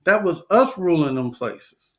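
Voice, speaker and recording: A man's voice: on the high side, very animated, a bit bright. A man reading scripture aloud and preaching in a casual talk, stumbling, repeating words and filling gaps with "uh". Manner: halting, many repeats and fillers.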